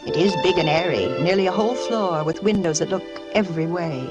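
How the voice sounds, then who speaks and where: A woman's voice: fairly low pitch, brisk, lightly acted. Woman acting old radio suspense heroine, stifled wife coming unglued, intense confiding delivery, music under it. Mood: brooding, confiding. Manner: intense, theatrical, brooding confiding narration. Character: fragile, stifled young wife unravelling into obsession. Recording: vintage radio recording with music behind.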